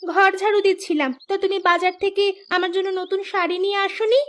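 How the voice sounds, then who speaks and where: Woman acting a very shrill, nagging young village wife. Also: fairly even intonation, fairly quick, fairly bright tone. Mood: complaining. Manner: complaining, demanding. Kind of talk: Bangla cartoon dialogue.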